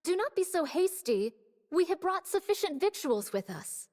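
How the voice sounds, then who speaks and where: A woman's voice: extremely high pitch, very animated, normal speed, darkish tone, long reverb tail. An actress playing a noble woman warrior who speaks in old-fashioned, courtly language.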